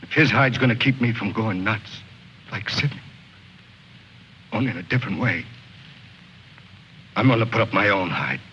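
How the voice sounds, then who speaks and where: Bitter, edgy soldier. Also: male voice, fairly low pitch, expressive, normal speed, pauses often, pushed and bright. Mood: bitter, driven. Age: 30s. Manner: brooding, insistent, sardonic. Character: bitter, restless soldier in his thirties, itching for glory. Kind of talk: mid-century American film drama acting. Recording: muffled, hissy old film soundtrack.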